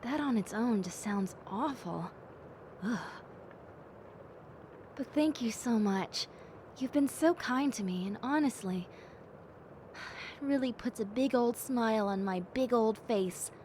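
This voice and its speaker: A woman voicing a gentle, cheerful young bat girl in a soft, playful and sincere audio roleplay. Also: fairly high pitch, slow, pauses often, slight background noise. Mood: grateful, cheerful. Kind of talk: audio roleplay addressed to the listener.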